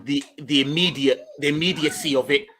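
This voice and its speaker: A man with a bright, forceful, fairly high voice hosts football banter. He talks in effusive slang and repeats himself for emphasis, with faint noise behind him. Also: a little fast. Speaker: host of a football fan channel. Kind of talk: casual banter and football chat.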